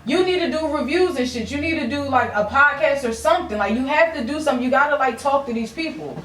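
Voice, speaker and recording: A woman's voice: sits high, fast, a bit bright. A young woman chatting casually as a podcast host, candid and slangy with plenty of swearing. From Philadelphia, US. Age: young adult. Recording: echoey room, distant mic.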